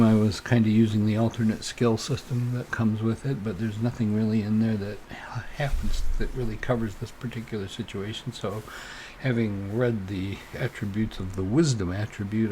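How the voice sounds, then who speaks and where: A man narrating a solo tabletop role-playing game, improvising haltingly and thinking aloud as game master. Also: fairly low pitch, mellow, a little hiss or hum.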